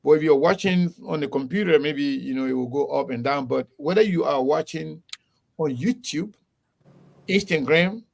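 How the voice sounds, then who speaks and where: A man hosting a casual live interview, animated and quick to laugh, often repeating his words. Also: fairly high pitch, gravelly. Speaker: host.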